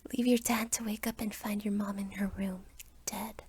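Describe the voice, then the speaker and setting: A woman's voice: medium pitch, flat delivery, normal speed, a little mellow, breathy edge. A woman voicing a bratty young succubus vampire girl, teasing and menacing, in an anime-style roleplay. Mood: smug, flippant. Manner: taunting, flippant.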